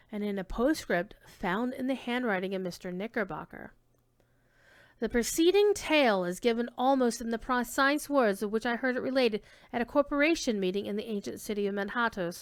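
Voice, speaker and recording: A woman reading old-fashioned literary prose aloud as a dramatic storyteller, with expressive, measured delivery. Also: fairly high pitch, clean tone, dry recording, clean studio sound. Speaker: reader giving a dramatic reading.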